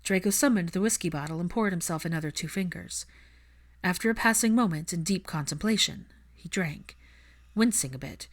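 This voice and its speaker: Female audiobook narrator, slightly low pitch, scripted story reading. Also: fairly quick.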